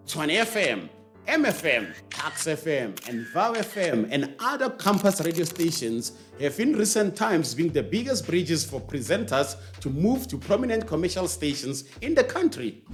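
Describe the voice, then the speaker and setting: A man with a South African accent hosting a scripted explainer, measured and stressing key phrases. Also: fairly high pitch, very animated, soft background music. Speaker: host of a series on radio.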